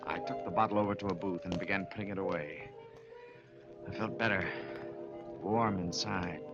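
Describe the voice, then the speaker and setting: A man's voice: low-pitched, steady tone, moderate pace. An actor playing a nervous, guilty murderer telling his story in a radio drama, terse and hardboiled, with an American accent. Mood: grim narration. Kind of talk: 1940s radio acting, dramatic narration. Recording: muffled old radio, loud music behind.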